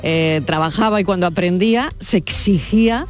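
A middle-aged woman from Andalusia hosting a radio talk show, speaking in a measured, neutral broadcast style. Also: fairly low pitch, faint music behind. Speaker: radio host.

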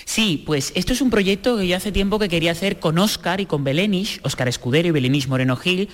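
A man's voice: high voice, quick pace, big-room sound. A man, a pianist, explaining his new work in a friendly way in a theatre. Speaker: pianist interviewee. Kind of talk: interview talk.

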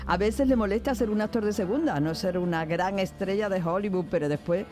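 A woman's voice: medium pitch, brisk, a little mellow, faint music behind, natural voice. A woman voicing a gracious, sweet-talking female film star in a comedy radio sketch. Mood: gushing.

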